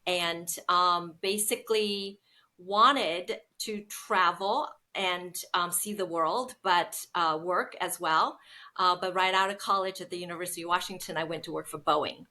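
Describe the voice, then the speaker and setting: A woman's voice: on the low side, a little slow, sharp tone. A woman, telling her story as an interview guest with many ums. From Seattle, United States. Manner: chatty, storytelling, frequent ums. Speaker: guest, business founder. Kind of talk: casual interview conversation.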